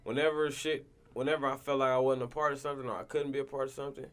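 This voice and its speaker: A man's voice: medium pitch, flat delivery, very fast. A man with a Southern California accent talks in a loose, casual podcast style. His speech is full of slang. He is emphatic and tends to double up short phrases as he agrees.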